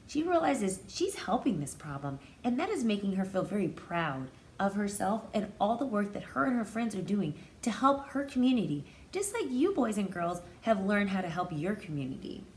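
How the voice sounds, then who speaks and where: A woman's voice: mid-range voice, sing-song, moderate pace, hardly any pauses, a little hiss or hum. A woman teacher doing a picture-book read-aloud, expressively explaining words and feelings in simple terms for young children. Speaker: pre-K teacher reading aloud.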